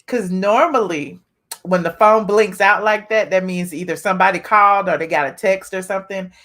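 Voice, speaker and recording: A woman hosts a live stream in a casual, chatty way. Her pitch sits in a middle range, and she talks straight to her viewers. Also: normal speed, fairly bright tone. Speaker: host of a live call-in show.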